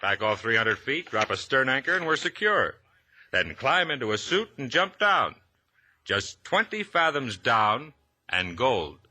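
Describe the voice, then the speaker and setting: A brusque treasure-hunting diver in an old radio adventure. His medium voice is husky and forceful, with wide, animated inflection as he briskly gives instructions. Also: medium pitch, full character voice. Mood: eager, businesslike. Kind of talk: 1950s radio drama acting.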